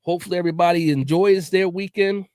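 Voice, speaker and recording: A man hosts a casual sports talk livestream in a relaxed, chatty way, often repeating himself and dropping "man" into his sentences. His voice sits a bit high. The sound is dry and close, with little room around it. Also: quick pace, clear, resonant tone, no room sound. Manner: laid-back, repeats words, says "man" often. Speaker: podcast host.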